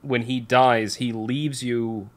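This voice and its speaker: A man speaks as a podcast host in an easy conversational style, with a middling pitch. He is candid and rambling, often breaking into his own sentences to rephrase them. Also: steady tone, takes it slowly. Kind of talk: casual podcast chat.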